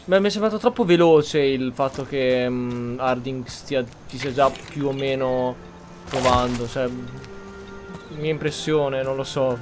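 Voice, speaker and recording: A man's voice: medium pitch, steady tone, normal speed, darkish tone. A man giving casual gameplay commentary, thinking aloud in offhand, broken remarks. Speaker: gamer commentator. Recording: faint game music behind. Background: faint game music.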